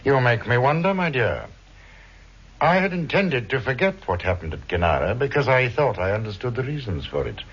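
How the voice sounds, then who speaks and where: An actor playing a suave, cruel criminal mastermind with silky, formal, mocking politeness, in 1960s radio drama style. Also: male voice, medium pitch, very animated, moderate pace, fairly bright tone, breathy edge. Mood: cold, suspicious. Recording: muffled vintage radio recording, faint noise.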